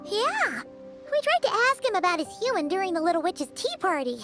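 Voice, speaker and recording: A woman voicing a tiny, excitable and blunt childlike fairy companion in an anime game, chirpy and exclamatory. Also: very high voice, fairly quick, soft background music, heavily acted. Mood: worried, urgent. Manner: chirpy, exclamatory, talks in third person. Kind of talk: anime game dialogue acting.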